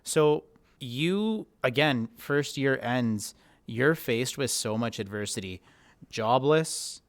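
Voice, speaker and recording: A man's voice: medium pitch, lively intonation, slow pace, dry recording. Man, podcast host, enthusiastic, affirming, dry close sound. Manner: enthusiastic, affirming, uses "razzle dazzle". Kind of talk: interview hosting.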